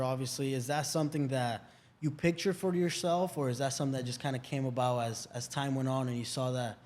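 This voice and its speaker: A male podcast host talks in a casual, eager way at a middle pitch. He keeps agreeing with "yeah" and "hundred percent" while he leads the chat. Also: a little mellow. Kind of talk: casual interview talk.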